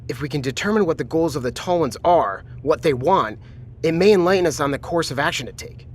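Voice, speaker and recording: Actor as pragmatic male navy commander, lighter-pitched, measured and placating, audio drama, faint background noise. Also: animated, close to an everyday voice. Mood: measured, reasoning.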